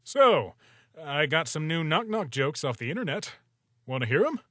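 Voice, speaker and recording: Guy doing a tired chill skeleton dude, low-key slangy talk, dry sound. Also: very animated, normal speed, bright, forceful tone, no room sound, full character voice. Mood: gentle. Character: weary laid-back male skeleton.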